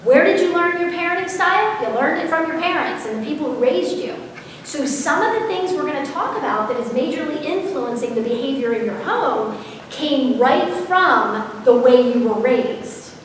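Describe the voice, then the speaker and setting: A woman in her forties giving a parenting seminar to a live audience, talking loudly and energetically without a microphone. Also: high-pitched, normal speed, slight background noise. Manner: loud, energetic, unmiked voice projecting to a room. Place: school auditorium or large hall. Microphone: camera far off, speaker not miked. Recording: echoey hall recorded from far off.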